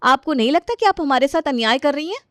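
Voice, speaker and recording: A woman plays a young daughter-in-law and mother in a family storybook drama. She is indignant and firm, with a fairly high voice recorded dry. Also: animated, quick pace, dry recording. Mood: accusing.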